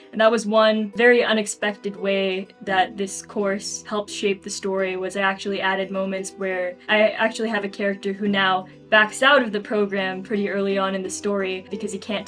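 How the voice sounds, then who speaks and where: Female interviewee, an author. Conversational, reflective, earnest storytelling, with background music under the voice. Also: mid-range voice.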